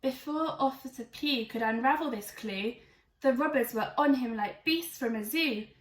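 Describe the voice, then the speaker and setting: A woman's voice: high voice, moderate pace, far from the mic. A woman reading a rhyming children's storybook aloud in a theatrical, emphatic way. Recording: echoey room, away from mic.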